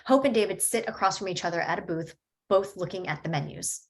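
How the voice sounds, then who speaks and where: A woman reading stage directions at a table read in a plain, even way. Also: lowish voice, a little fast.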